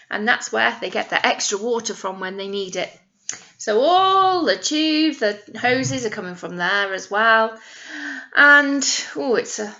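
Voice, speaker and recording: Bright-voiced woman reading to young children. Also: high-pitched, highs cut off. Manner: expressive teacherly, addressing children with questions and emphasised key words. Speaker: nursery teacher reading to children. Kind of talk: picture-book read-aloud with simple explanations for young children.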